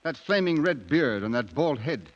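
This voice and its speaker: A man's voice: mid-range voice, sing-song, moderate pace. An actor playing a skeptical English newspaperman in a 1950s radio drama, with an educated, dramatic delivery. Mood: exasperated. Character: weary, skeptical English newspaperman narrating his tale. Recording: dull vintage radio recording, long reverb.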